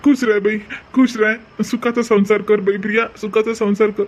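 A man voicing an obliging village fellow at a cartoon wedding, hurried, soothing and repetitive, with a Varhadi Marathi accent. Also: high-pitched, level tone, lightly acted. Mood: reassuring. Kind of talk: homemade cartoon family drama. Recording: dull, lo-fi audio.

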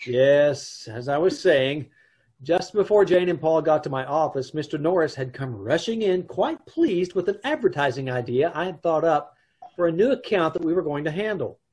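A middle-aged actor playing a wry, wisecracking husband who narrates and acts in an old-time radio comedy over a video call. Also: male voice, fairly high pitch, animated, moderate pace, darkish tone, breathy edge. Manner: dry, wry.

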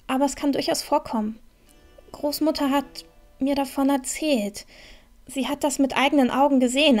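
A woman playing a practical, caring young village adventurer in an audio drama, speaking in a calm, matter-of-fact way. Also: high-pitched.

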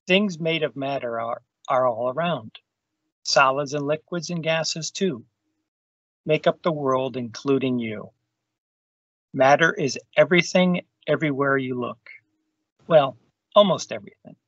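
A man's voice: medium pitch, unhurried, pauses often, a bit bright, dry recording. A man reading a children's science book aloud over a video call. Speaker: storytime reader. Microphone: video call microphone. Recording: dull, lo-fi video call audio.

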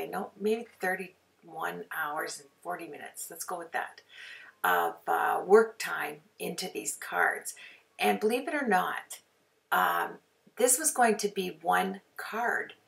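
Raspy, gravelly woman narrating crafts. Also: on the low side, a bit bright, recorded from a distance. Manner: chatty, rambling, with frequent fillers. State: raspy from a sore throat. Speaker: card-making tutorial host. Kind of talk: casual voice-over for a craft video.

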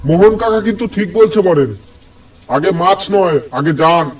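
Timid young village fisherman played by a man, high voice, anxious and pleading, obedient horror-comedy cartoon sidekick. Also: normal speed, natural voice, low-quality recording. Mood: cautious. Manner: fearful, pleading.

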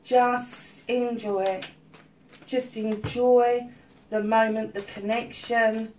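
A woman's voice: a little high, fairly even intonation, slow pace, a little hiss or hum, poor audio. A middle-aged woman giving a casual tarot reading at home, a little stuffy-nosed, chatty with many ums. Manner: chatty, warm-hearted asides with many ums. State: slightly stuffy-nosed from illness. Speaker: tarot reader. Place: home room at a table of crystals.

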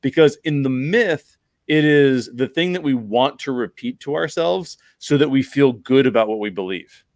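A man's voice: mid-range voice, expressive, normal speed, no echo, studio quality. A man in his forties talking casually and emphatically as a podcast host, explaining his view on films. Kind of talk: casual film discussion. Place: bedroom at home. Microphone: large microphone close to the mouth.

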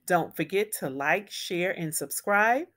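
A woman reading a children's picture book aloud as a storyteller, with deliberate, emphatic phrasing for young listeners. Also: lowish voice, lively intonation, fairly bright tone. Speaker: read-aloud storyteller and educator.

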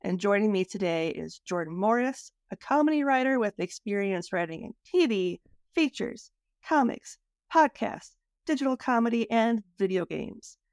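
A woman hosts a podcast in an informal, conversational style. Her voice sits at an average pitch. The recording is close and dry. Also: animated, normal speed, a little mellow, no room sound. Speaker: podcast host. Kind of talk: casual hosting and chat.

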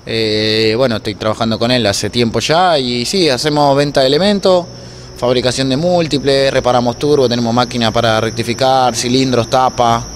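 Man, twenties, Argentine accent, casual interview talk, medium pitch, noisy indoor room. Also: moderate pace. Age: 20s. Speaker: interview guest, racing announcer and workshop mechanic. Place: indoor block-walled room at a racetrack. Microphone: handheld interview microphone held toward him. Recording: noisy room, clear ambient background noise.

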